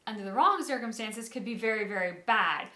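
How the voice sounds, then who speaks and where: A woman's voice: a little high, animated, brisk, bright, forceful tone. Emphatic woman in an echoey room. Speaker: co-host of a game reaction show. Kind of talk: casual chat, giving opinions on a story.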